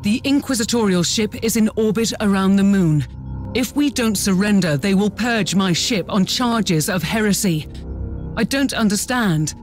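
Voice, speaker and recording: A woman's voice: medium pitch, moderate pace, soft background music, close to an everyday voice. A woman playing a dutiful, anxious starship officer in a video game, reporting formally and with urgency. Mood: worried. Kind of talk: dramatic video game acting.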